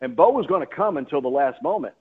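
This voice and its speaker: Husky, emphatic man on sports radio. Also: on the high side, expressive, quick pace, narrow, tinny audio. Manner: emphatic, repeats and rephrases himself. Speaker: sports play-by-play announcer, radio guest. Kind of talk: sports talk radio interview.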